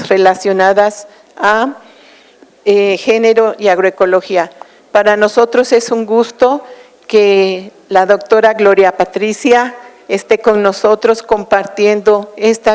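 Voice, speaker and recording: Smooth-voiced woman moderator in a reverberant hall. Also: medium pitch, steady tone, measured pace, darkish tone. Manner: formal, reading out a list of titles. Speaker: event moderator. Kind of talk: formal speaker introduction.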